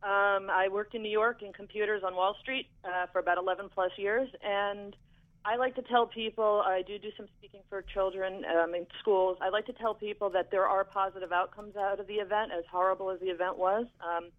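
A man calling in to a radio show, telling his account calmly and steadily with soft fillers. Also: high-pitched, brisk. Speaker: caller. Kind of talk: call-in radio talk. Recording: tinny phone line.

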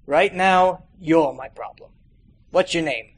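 A world-weary police interrogator voiced by a man reading aloud puts terse, probing questions. His voice is a touch high for a man. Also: slow, breathy edge.

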